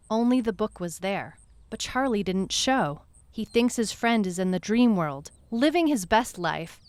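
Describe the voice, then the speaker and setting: A woman playing a brooding detective who narrates a supernatural case in a measured, deliberate way, as in a scripted audio drama. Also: mid-range voice, close to an everyday voice. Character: brooding female detective recounting a supernatural missing-person case. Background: music.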